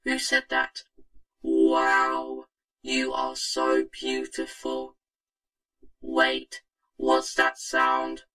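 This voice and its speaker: A synthetic vocoder voice playing an animated talking logo creature in a flat, buzzy, robotic monotone. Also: very slow, long pauses, over loud music. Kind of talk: scripted cartoon dialogue through a vocoder.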